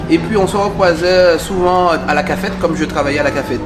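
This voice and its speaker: A man with a somewhat high-pitched voice hosts a conversational interview. His voice echoes in the room, and music plays clearly behind him. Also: a little fast. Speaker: host of a video channel. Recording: roomy echo, music behind.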